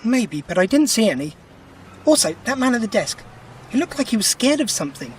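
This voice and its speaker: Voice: medium pitch, sing-song, brisk, distant mic, a little hiss or hum, lightly acted. An actor playing a quirky, wry time-travelling alien adventurer in an audio drama, chatty and given to grumbling. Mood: wry, suspicious.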